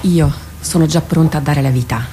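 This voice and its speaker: A woman playing a tormented female warrior captain in a radio drama, speaking gravely and haltingly, with introspective weight. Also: low voice, warm tone, slight background noise, lightly acted. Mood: grave, resolute.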